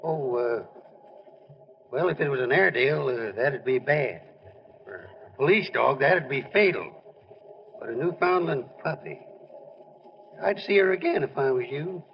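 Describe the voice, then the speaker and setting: Friendly sportswriter in noisy old radio. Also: male voice, medium pitch, animated, moderate pace, vocal fry, long pauses, lo-fi sound. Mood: wry, friendly. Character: friendly sportswriter pal of a ballplayer, narrating. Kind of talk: 1940s radio drama acting.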